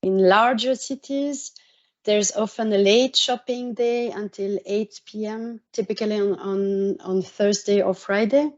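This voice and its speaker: A woman with a Swiss French accent giving an orientation presentation, measured and dotted with pauses and restarts. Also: medium pitch, steady tone, a little mellow. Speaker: university exchange coordinator. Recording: muffled lo-fi audio.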